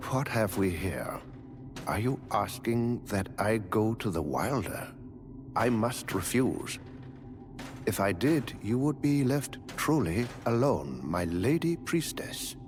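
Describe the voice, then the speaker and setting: Genteel, lilting fantasy manservant. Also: male voice, lowish voice, very animated, slow, over music. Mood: pained, deferential. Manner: formal, courtly, theatrical phrasing. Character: genteel, devoted doll manservant of a fantasy stronghold. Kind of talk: video game character dialogue.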